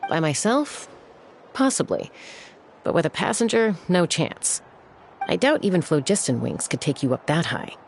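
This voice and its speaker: A woman playing a composed, confident young flying expert in a video game, speaking in a measured way. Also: lowish voice, big pitch swings, creaky, a little hiss or hum. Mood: calm, confident. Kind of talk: video game character dialogue.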